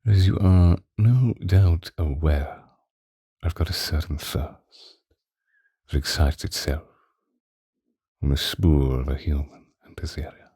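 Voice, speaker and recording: A man playing a possessive, doting ancient vampire lover in a breathy, intimate ASMR roleplay, close to the microphone. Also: dry recording, heavily acted. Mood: hungry, seductive. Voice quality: very deep, dark, husky, breathy, often whispering. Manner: slow, intimate, old-fashioned romantic wording. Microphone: close microphone, dry.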